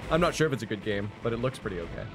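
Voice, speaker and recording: Lively, fast-talking male streamer. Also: on the low side. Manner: upbeat, quick, jokey. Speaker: livestream host. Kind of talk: casual chat over gameplay. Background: game music.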